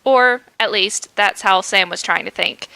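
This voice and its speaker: A woman's voice: medium pitch, measured pace, creaky, sharp tone, clean tone, dry recording. A woman hosting a podcast, reading a comic story aloud in a playful way and laughing at the text, into a podcast microphone. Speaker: podcast host.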